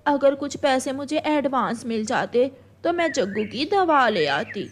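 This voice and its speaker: A woman's voice: high voice, lively intonation, a little slow. A woman voicing a distressed, poor mother crow in a cartoon story, pleading and tearful for her child. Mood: worried, grateful. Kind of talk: Hindi cartoon story voice acting.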